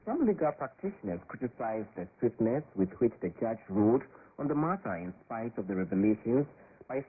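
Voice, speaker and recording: A man reading a scripted news report in a measured, formal way. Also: fairly low pitch, breathy edge. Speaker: radio reporter. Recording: tinny shortwave radio.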